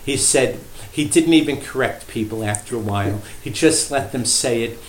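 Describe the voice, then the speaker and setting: A man with a medium-pitched voice speaks as a priest being interviewed. He tells history in a measured, emphatic storyteller's way, pausing for effect. The room adds clear echo, with faint noise behind him. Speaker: priest and shrine director interviewed as guest. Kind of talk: unscripted storytelling in a radio interview. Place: indoor room at a shrine.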